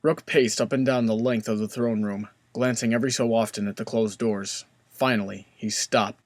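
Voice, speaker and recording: A man's voice: lowish voice, steady tone, normal speed, no echo, clean studio sound. A man narrating a fan fiction story in a scripted storybook style.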